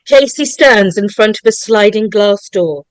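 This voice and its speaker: A woman's voice: mid-range voice, measured pace, no room sound. A woman reading stage directions as the narrator of an online script read-through. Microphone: video call microphone.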